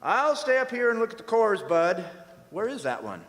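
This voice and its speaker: A man plays an easily spooked geologist in a radio drama. His voice is high and very lively in pitch, with colloquial, halting phrasing. It echoes through a hall. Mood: restless. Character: jittery young field geologist, easily spooked. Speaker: actor in a radio play. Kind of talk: stage radio drama acting. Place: performing arts center stage. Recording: reverberant hall.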